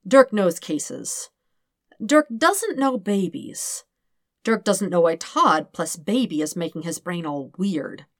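A woman's voice: on the low side, big pitch swings, slow, dry recording, studio quality. A woman reading scripted fiction as an audiobook narrator.

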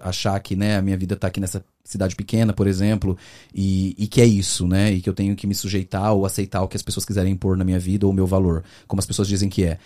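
A man from the interior of São Paulo state, with that region's accent, chatting as a guest on a podcast. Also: low voice. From Brazil, interior of São Paulo state. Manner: fast, animated storytelling with many fillers. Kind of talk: casual interview chat.

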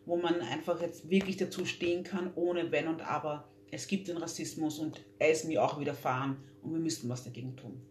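A woman's voice: sits low, fairly even intonation, brisk, few pauses, darkish tone. A young woman, talking earnestly as an interview guest, with many fillers and restarts. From Austria. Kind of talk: casual interview talk. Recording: echoey room, faint hum.

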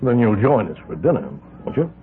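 A man's voice: mid-range voice, very animated, brisk, slight background noise, close to an everyday voice. An actor playing a secretive island man who guards his land with a rifle, polite on the surface and clipped when giving orders. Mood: sly courtesy. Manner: politely ominous, then clipped and commanding. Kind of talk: 1970s radio drama acting. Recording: narrow-band 1970s radio broadcast.

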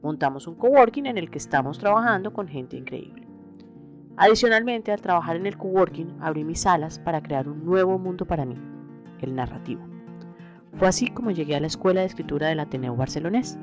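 A woman's voice: lowish voice, expressive, fairly quick, over music. A middle-aged woman with a Colombian accent hosting a podcast alone, reading a scripted personal narration. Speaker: podcast host.

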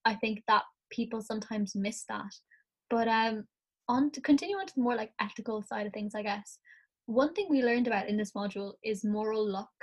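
A young Irish woman, a student, talking through ideas in a casual class discussion, her voice a little croaky from the morning. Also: on the high side, a little fast. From Ireland. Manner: chatty, thinks aloud, frequent "like".

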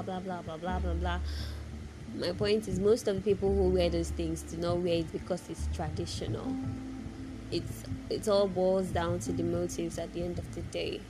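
A woman's voice: lowish voice, poor audio. A woman from Nigeria with a Nigerian accent gives a casual, opinionated monologue, speaking emphatically with repeated phrases and rhetorical questions. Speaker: vlogger giving personal opinion.

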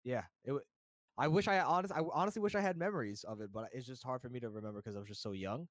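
A young American man talks as a guest on a casual podcast. He is laid-back and informal, with a mid-range male pitch. The sound is dry and free of room echo. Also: racing. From New Jersey, United States. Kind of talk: casual chat.

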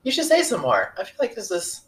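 A man's voice: high voice, huge pitch swings, fairly quick, a bit bright, echoey room. Young man, podcast co-host, easygoing, joking, casual chat. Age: young adult.

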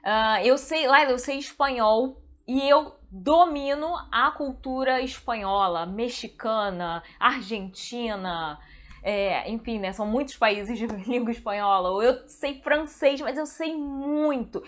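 A woman's voice: a little high, measured pace, a bit bright. A woman with a Brazilian Portuguese accent, a translator talking chattily and directly to her viewers. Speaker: translator and video host. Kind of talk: casual talk to camera.

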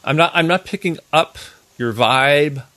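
A man hosting a casual interview on a video call, thinking aloud in a halting, conversational way. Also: fairly high pitch, bright, forceful tone. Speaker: interview host.

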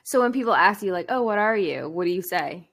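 A woman's voice: medium pitch, animated, brisk, a bit bright. A young woman hosting a podcast, asking friendly, curious questions and giggling now and then. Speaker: podcast host. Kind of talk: casual interview chat.

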